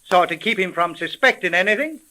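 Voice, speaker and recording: A man playing a wisecracking, commanding homicide sergeant in a 1930s radio drama, with colloquial, brisk speech. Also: high-pitched, lively intonation, bright, forceful tone. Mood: bossy, impatient. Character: gruff, wisecracking male police homicide sergeant.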